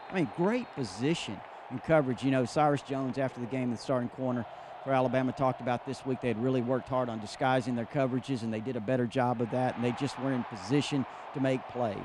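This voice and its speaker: A man with a mid-range voice talks through a game as an analyst. His delivery is unscripted, recorded with faint outdoor ambience behind him. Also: medium pitch. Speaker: sports analyst. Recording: faint ambient noise, on location.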